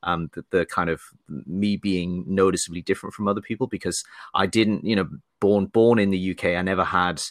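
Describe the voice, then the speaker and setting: Guest, male, young adult, deep voice, dry close sound, mixed British accent with faint Geordie, hesitant and full of restarts. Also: fairly even intonation, brisk, dry recording. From Northeast England. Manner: hesitant, many restarts and fillers. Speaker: podcast guest, lawyer. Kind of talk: casual interview chat.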